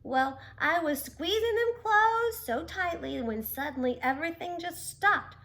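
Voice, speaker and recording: A woman's voice: very high voice, animated, unhurried, fairly bright tone, pitched above the performer's own voice, heavily acted. A woman voicing Timmy, a small, frightened young male turtle in a children's story who tells what happened to him. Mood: eager, telling.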